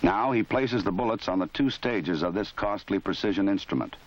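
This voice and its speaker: A man with a fairly low voice delivers measured, matter-of-fact narration in a formal register. The sound is dull and lo-fi, like an old 1970s film soundtrack. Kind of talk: scripted documentary narration. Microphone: voice-over.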